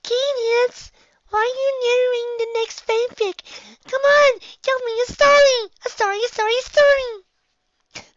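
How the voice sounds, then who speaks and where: Tiny filly pony voice, way up high, whiny and pushy, dull lo-fi sound. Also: female voice, fairly even intonation, measured pace. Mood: pestering. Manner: begging, squealing, repetitive. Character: excitable little filly pony, childish, pestering. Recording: dull lo-fi home recording.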